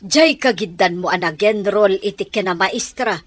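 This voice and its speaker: A woman's voice: mid-range voice, moderate pace, dry recording, natural voice. A woman playing a scheming, coaxing mother in a theatrical Ilocano radio drama. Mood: chiding. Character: scheming mother asking a man for money.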